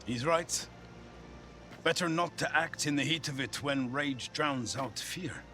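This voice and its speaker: Slow, brooding, vengeful Viking. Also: male voice, on the high side, sharp tone, a little hiss or hum. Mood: grim, calculating. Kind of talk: dramatic video game acting.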